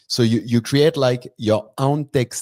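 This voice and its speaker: A man's voice: medium pitch, unhurried, a little mellow, no echo, studio quality. A man with a French accent interviewing in English as his second language, hesitant and searching for words. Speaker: interviewer, podcast host.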